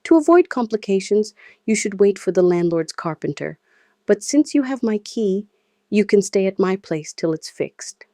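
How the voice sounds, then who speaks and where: A woman voicing a calm, reassuring young Nigerian man, the boyfriend in a narrated story. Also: medium pitch, normal speed, dry recording, clean studio sound. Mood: calm, sympathetic. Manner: calm, smooth.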